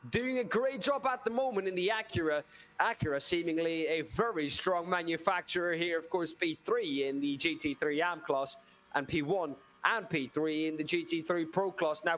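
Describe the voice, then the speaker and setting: A man's voice: fairly high pitch, a bit bright, slight background noise. A man doing live commentary on a sim race, chatty and putting questions to his co-commentator.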